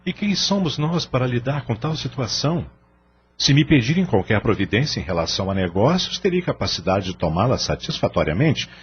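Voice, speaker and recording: Actor as animated Brazilian family father, Paulo. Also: medium pitch, brisk, natural voice. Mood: helpless, defensive. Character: Paulo, practical businessman father, out of his depth. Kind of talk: audio-novel acting, formal scripted dialogue. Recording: dull lo-fi audio drama with humming noise.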